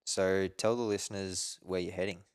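A man's voice: low-pitched, flat delivery, moderate pace, smooth, no echo, studio quality. A man hosting a podcast, chatting informally and asking short, curious follow-up questions. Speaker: podcast host. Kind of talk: casual interview chat.

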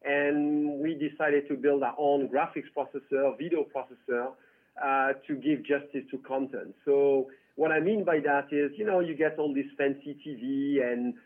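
A man's voice: mid-range voice, fairly even intonation, moderate pace. A man from France with a French accent, a tech founder chatting through an interview with lots of "you know". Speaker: tech company founder, guest. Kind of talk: casual interview. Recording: tinny phone-like line.

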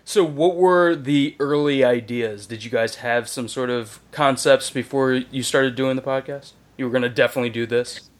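Man from Maryland, podcast host, friendly and a bit halting. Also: medium pitch. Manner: friendly, halting, asks questions. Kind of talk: casual chat.

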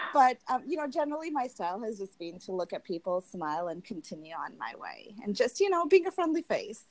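A woman's voice: medium pitch, very animated, normal speed, rough recording. A young woman from Tanzania, a guest in an interview, talking through her own experiences in a friendly, reflective way with many fillers. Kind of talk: candid personal interview.

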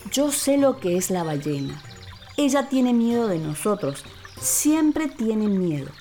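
Wise elderly male caiman played by a woman, calm and certain, children's radio storybook, music underneath. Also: medium pitch, slow. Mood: reassuring, firm.

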